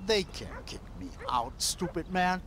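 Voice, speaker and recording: An actor playing a proud, bitter old man in a video game, deliberate and contemptuous. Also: high-pitched, sing-song, a bit bright, exaggerated voice. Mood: scornful. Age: older. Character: proud, bitter, scornful old man defending his family. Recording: faint outdoor background noise.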